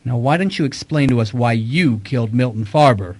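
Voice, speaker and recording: An actor playing a wry, self-assured private detective in an audio mystery drama. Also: male voice, mid-range voice, animated, a little fast, darkish tone, close to an everyday voice. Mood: confident, pointed.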